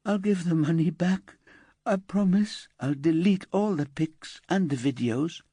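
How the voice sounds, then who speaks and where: A man's voice: high voice, unhurried, mellow, slightly rough, no echo, pitched above the performer's own voice. A man voicing a resentful teenage girl who whines and turns tearful. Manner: whiny and indignant, rising to wailing.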